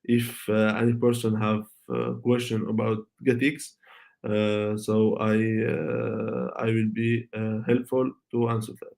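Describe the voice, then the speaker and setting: A young man whose first language is Arabic walks through a technical talk in a low, gravelly voice. He speaks haltingly and fills the gaps with many "uh"s and restarts. His pitch barely moves. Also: flat delivery, a little mellow. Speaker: conference speaker. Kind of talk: online tech talk with Q&A.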